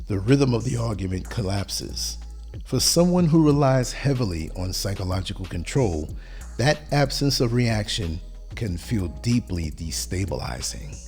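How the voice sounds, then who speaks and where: A man with a husky, worn-down voice that sounds close to giving out, delivering a podcast monologue in measured, emphatic sentences. His pitch swings widely as he stresses each point. Soft music plays faintly underneath. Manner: measured, emphatic delivery in short declarative lines. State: hoarse, losing his voice. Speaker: podcast host. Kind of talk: motivational monologue on relationships.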